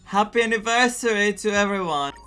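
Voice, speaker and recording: A young man with a Greek accent streaming a game, chatting excitedly, laughing often and reading quest text aloud. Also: high voice, brisk, smooth, soft background music.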